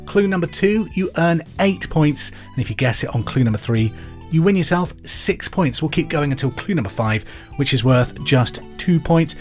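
A man hosting a pub quiz podcast, reading each question twice in a slow, clear way. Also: mid-range voice. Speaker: quiz host.

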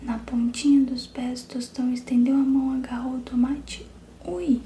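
A woman reading a children's story aloud in a soft, steady whisper. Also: high-pitched, moderate pace, roomy sound, a little hiss or hum.

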